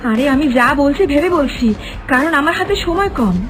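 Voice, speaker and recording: A woman's voice: high-pitched, expressive, moderate pace, fairly bright tone, faint music behind. A woman voicing a possessed young woman in a cartoon, speaking in an insistent, pushy, defensive way.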